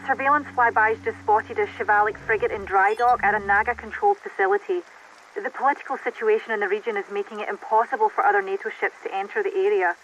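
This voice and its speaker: A woman playing a composed military intelligence operator in a video game, briefing in a formal, measured way. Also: medium pitch, fairly even intonation, fairly bright tone, lo-fi sound, slight background noise, close to an everyday voice. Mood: concerned briefing. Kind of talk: video game cutscene acting.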